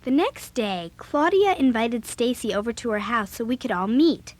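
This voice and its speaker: An outspoken American girl in a children's audio drama. Her voice is high and swoops with lively emphasis. She speaks bluntly, like someone used to running things. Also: moderate pace. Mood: eager, organizing.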